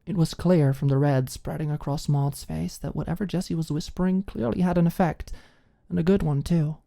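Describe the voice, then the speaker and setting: A woman's voice: low voice, steady tone, fairly quick, vocal fry, dark tone. A woman narrating a scripted story in the style of an ASMR audiobook.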